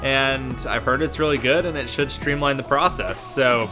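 A man's voice: medium pitch, moderate pace, music in the background. A young man narrating a scripted vlog about his own work, with wry, self-deprecating humour. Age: young adult. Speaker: video creator and game developer.

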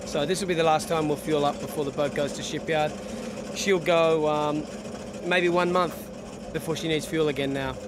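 A man's voice: on the high side, fairly even intonation, moderate pace, noisy background. A man in his forties with an Australian accent, a yacht captain casually explaining his work. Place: outdoors on a yacht deck and dockside.

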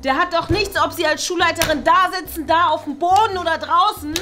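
A woman's voice: very high-pitched, a little fast, pushed and bright, soft background music. A woman playing a flustered, indignant older headmistress in a comedy sketch, dramatic and stammering. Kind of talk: improvised comedy sketch acting. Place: indoor corridor and meeting room.

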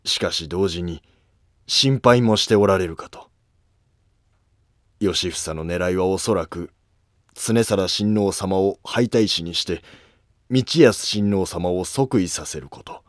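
A loyal, deferential nobleman , acted by a man in an animated period drama. He speaks formally in a low, unhurried voice, concerned and respectful. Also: no echo, studio quality. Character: loyal, deferential, concerned male court retainer. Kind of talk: Japanese historical animated drama acting.

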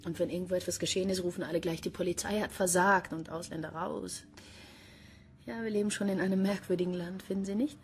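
An actress playing an insistent, sardonic police detective in a crime radio drama, questioning in a dry, pointed way. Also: female voice, fairly low pitch, steady tone, fast, a little hiss or hum, natural voice. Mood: dry, ironic. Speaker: actor in a crime radio play. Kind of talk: German radio drama acting.

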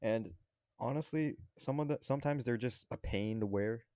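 A man co-hosting a podcast in casual chat, haltingly searching for his words. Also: mid-range voice, warm tone, dry recording, studio quality. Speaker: podcast co-host.

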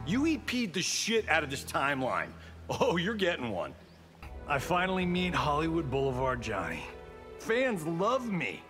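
Cocky Hollywood action star, American, higher male voice, wisecracking, slangy, animated delivery, video game banter over music. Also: normal speed. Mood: cocky, joking. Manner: flippant, boastful, slangy.

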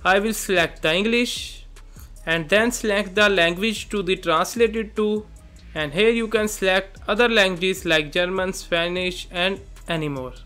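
A man presenting a software tutorial, narrating step by step in simple instructional phrases. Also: high voice. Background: background music.

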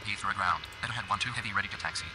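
A man's voice: on the low side, close to an everyday voice. A man voicing an airline pilot in a game, reading back radio calls in a clipped manner. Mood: brisk. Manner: quick, clipped radio readbacks. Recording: noisy game radio audio.

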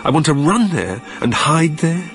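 A slow, haunted, wistful man. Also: on the high side, very animated. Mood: longing, uneasy. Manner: hushed, deliberate, poetic delivery. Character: haunted, wistful man facing his own death. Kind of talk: intimate radio drama monologue. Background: music.

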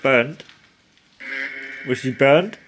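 A man investigating an abandoned farm at night, calmly asking spirits to speak to him. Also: mid-range voice, very slow, soft background music. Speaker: paranormal investigator. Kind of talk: calling out to spirits. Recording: noisy outdoor recording.

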